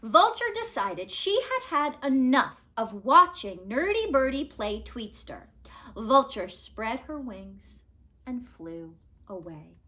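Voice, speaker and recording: High, animated woman reading a children's story. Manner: expressive, measured, dramatic emphasis. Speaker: storybook reader. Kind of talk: animated children's read-aloud narration.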